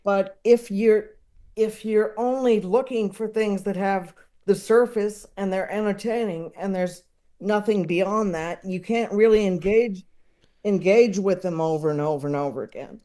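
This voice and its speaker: A podcast guest talking casually and reflectively, in a halting, thoughtful way, repeating words while searching for the right phrasing. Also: mid-range voice, mellow. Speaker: podcast guest, comedy enthusiast. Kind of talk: casual reflective interview talk.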